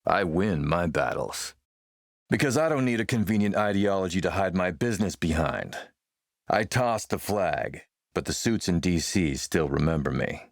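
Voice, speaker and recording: A man playing a shrewd, menacing militia boss and smooth-talking dealmaker in a video game, gravelly, measured, self-assured and wry. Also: low voice, lively intonation, dry recording, clean studio sound. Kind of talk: video game character dialogue.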